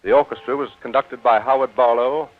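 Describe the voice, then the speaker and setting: A man's voice: medium pitch, steady tone. Formal male announcer on tinny vintage radio. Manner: formal, measured. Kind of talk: 1940s scripted radio announcing. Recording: tinny 1940 radio broadcast, faint music.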